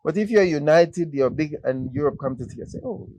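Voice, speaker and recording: A man in a conversational discussion as a guest, explaining his points haltingly and repeating words. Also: a little high, animated, mellow, no room sound. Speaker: interview guest.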